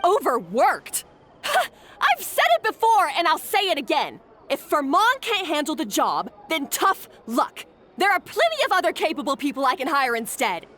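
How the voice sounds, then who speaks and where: An actress playing a domineering head chef in a video game, imperious and scornful. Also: female voice, high voice, wildly swooping pitch, normal speed, sharp tone, heavily acted. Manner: imperious, scornful, barking orders. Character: haughty, domineering female head chef, harsh boss.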